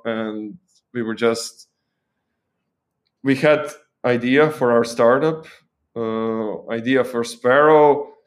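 A young man from Croatia with a Croatian accent, a guest talking casually and thoughtfully in an interview, often restarting his phrases. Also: mid-range voice. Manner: thoughtful, with frequent restarts and fillers.

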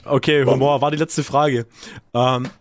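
A man's voice: mid-range voice, flat delivery, a little slow, a little hiss or hum. Young man in slangy casual banter. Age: young adult.

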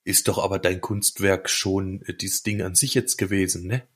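Enthusiastic podcast guy, husky voice, chatting real casual with his buddies. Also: low voice, flat delivery, normal speed, a bit bright. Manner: colloquial, enthusiastic. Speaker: podcast co-host. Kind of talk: casual chat among friends.

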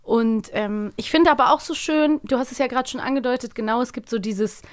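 Woman podcast host, slightly high voice, enthusiastic, casual chat. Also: a little fast. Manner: enthusiastic, chatty, laughs often.

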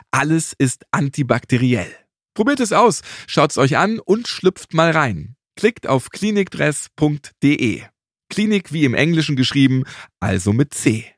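A man's voice: medium pitch, no echo, clean studio sound. A man speaking as a podcast host in German-style interview and presenting delivery. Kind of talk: podcast interview and scripted ad read.

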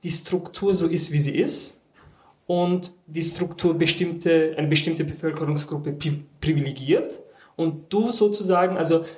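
A man's voice: fairly high pitch, measured pace, darkish tone. A man, a teacher, speaking earnestly in a group discussion and often restarting words. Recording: echoey room.

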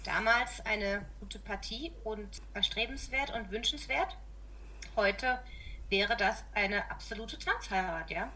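A woman co-hosting a podcast, talking fast and passionately. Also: mid-range voice, a little hiss or hum. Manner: fast, passionate, expressive talk. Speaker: podcast co-host. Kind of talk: casual history podcast chat.

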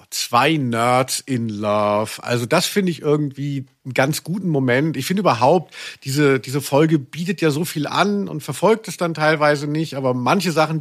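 A man with a German accent hosting a podcast in casual, humorous chat, rambling and teasing. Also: medium pitch. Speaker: podcast host.

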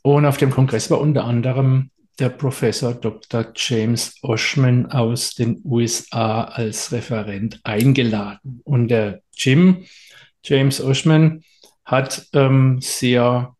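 A middle-aged man, a therapist, telling a story in a relaxed interview, with many filler sounds and pauses. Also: medium pitch. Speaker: therapist and guest.

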